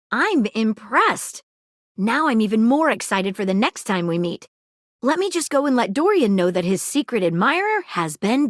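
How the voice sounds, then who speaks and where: An eager, nosy young detective. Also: female voice, sits high, a bit bright, dry recording, studio quality. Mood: excited. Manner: earnest, inquisitive, fact-loving. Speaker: voice actor. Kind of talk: video game voice lines. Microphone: studio mic, dry booth.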